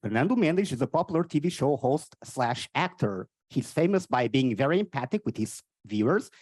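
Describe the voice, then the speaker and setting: Portuguese guy w/ a goofy cartoonish voice, stand-up crowd work, pitch jumps all over, dry sound. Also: moderate pace, dry recording. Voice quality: odd, cartoonish voice. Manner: energetic, teasing banter with the audience. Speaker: stand-up comedian. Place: on stage at a live event.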